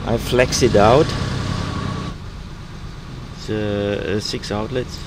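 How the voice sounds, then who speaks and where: Older male sailing vlogger, German accent, lowish voice, halting casual explanations, noisy boatyard recording. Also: steady tone. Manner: halting, searching for words. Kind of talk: casual explanation of boat work.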